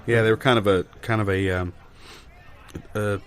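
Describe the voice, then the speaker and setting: Low-voiced man, deadpan and halting. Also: faint music behind. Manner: deadpan, halting, matter-of-fact. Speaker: improv comedy performer. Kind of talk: improvised comic anecdote.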